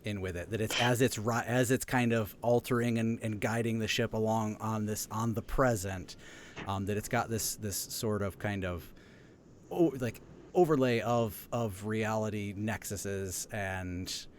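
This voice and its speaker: A man talks through his moves as a player in a tabletop game. His voice sits fairly low and he improvises casually, groping toward each idea. There is some faint background noise. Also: measured pace.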